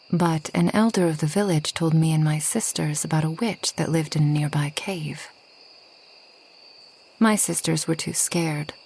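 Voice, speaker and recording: A woman playing a reclusive, ageless forest witch in a soft ASMR roleplay, gentle and wry, often slipping toward a whisper. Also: low voice, creaky, breathy edge, heavily acted. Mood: calm, reminiscing. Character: reclusive, centuries-old kind but proud forest witch.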